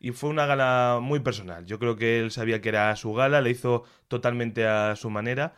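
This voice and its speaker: A man with a Castilian Spanish accent chats as a culture commentator. His voice sits at a middle pitch. He is colloquial and expressive, giving his opinions freely. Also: brisk, clear, resonant tone. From Castilla-La Mancha, Spain. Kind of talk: casual conversational review.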